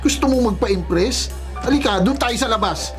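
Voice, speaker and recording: An actor with a Filipino accent playing a pushy, jealous, hot-headed young suitor, confrontational, in a radio drama. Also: male voice, very high voice, moderate pace, faint music behind. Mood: angry, jealous.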